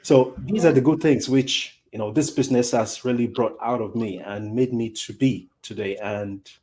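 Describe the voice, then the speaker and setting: Relaxed man with a mid-pitched voice. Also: normal speed. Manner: relaxed, says "you know" often. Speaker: talk show guest. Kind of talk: casual chat.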